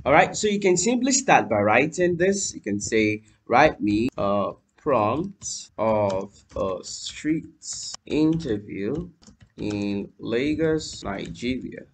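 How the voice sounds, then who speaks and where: Lively young man walking through a tutorial. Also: medium pitch, takes it slowly, a little hiss or hum. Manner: lively, addresses viewers as "you guys", spells out letters while typing. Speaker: tutorial host. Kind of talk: screen-recorded software tutorial. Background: keyboard typing.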